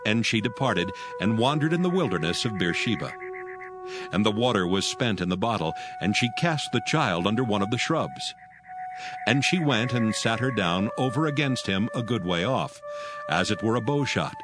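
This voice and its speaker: A man narrating a dramatized scripture reading in an even, measured way. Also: fairly low pitch. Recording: music bed behind.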